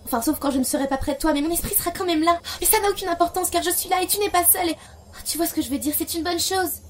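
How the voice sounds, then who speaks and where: A woman's voice: very high voice, fast, a little hiss or hum. A woman playing a bubbly, devoted young princess in a fan dub, gushing and rambling as she corrects herself. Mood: earnest, reassuring. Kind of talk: animated-fantasy fan dub acting in French. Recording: music behind the voice.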